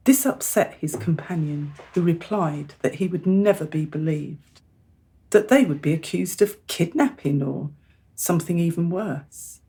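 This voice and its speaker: An actress plays a middle-aged mother in a radio drama. Her voice is low and husky. She is earnest and insistent, measured but exasperated with her family. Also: dark tone, heavily acted. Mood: solemn storytelling. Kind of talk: radio play acting.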